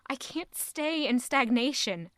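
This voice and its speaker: A woman's voice: high-pitched, animated, unhurried, no room sound. Anguished young court lady, intimate and brooding. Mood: angry outburst. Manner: intimate, brooding, emotionally intense. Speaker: audio drama actor. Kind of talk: dramatic first-person monologue.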